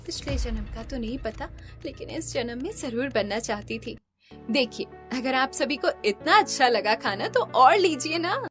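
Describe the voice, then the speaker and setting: Actress as young daughter-in-law, very high voice, kind, earnest, reassuring, cartoon drama, music behind. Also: female voice, lively intonation, moderate pace, a bit bright. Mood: bright, caring.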